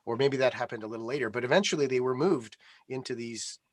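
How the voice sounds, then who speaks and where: A man's voice: mid-range voice, quick pace, no room sound. A middle-aged man, talking as a guest in a conversational interview, hesitant with many restarts. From Canada.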